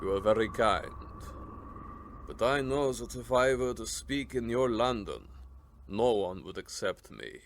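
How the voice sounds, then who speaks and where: A sinister vampire nobleman, speaks with ceremonious courtesy. His delivery is formal and deliberate, in radio drama style, over a faint bed of background noise. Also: lowish voice, expressive, gravelly. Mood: polite, guarded.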